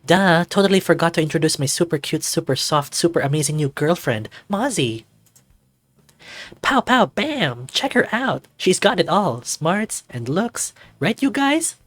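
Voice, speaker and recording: A performer voicing an excitable young woman, a gushing and exuberant daughter in a visual novel. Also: mid-range voice, a little slow, dry recording, pitched above the performer's own voice. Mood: excited, proud. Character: bubbly, excitable young daughter showing off her girlfriend.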